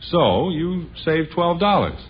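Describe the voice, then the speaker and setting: Exasperated, sarcastic radio sitcom dad. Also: male voice, fairly high pitch, very animated, slow pace. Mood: incredulous. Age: middle-aged. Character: exasperated suburban father, wry, sarcastic, put-upon husband. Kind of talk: 1950s radio sitcom acting. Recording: dull, noisy vintage radio broadcast.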